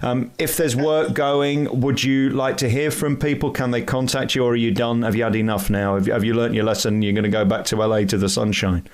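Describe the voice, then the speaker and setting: An outspoken British man hosts a radio interview. His pitch is mid-range for a man. He talks conversationally and puts firm emphasis on his points. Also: fairly even intonation, a little fast, few pauses. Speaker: interview host.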